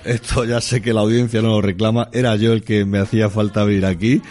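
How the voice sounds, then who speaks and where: A man's voice: on the low side, level tone, fast talker, a little mellow. A man from Madrid, a returning guest on a local radio show, rambling in jokey banter and laughing often.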